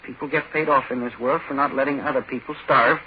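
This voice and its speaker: An actor playing a menacing, power-drunk ordinary little man in 1940s radio horror, speaking haltingly and insistently. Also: mid-range voice, fairly even intonation, natural voice. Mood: smug, threatening. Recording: muffled, noisy old 1940s radio recording.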